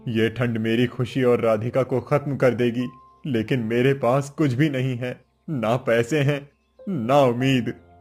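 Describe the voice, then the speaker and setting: A man's voice: medium pitch, steady tone, a little slow, darkish tone, faint music behind, close to an everyday voice. A man voicing a poor, desperate and devoted village cobbler, humble and earnest, in a cartoon story. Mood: burdened, determined.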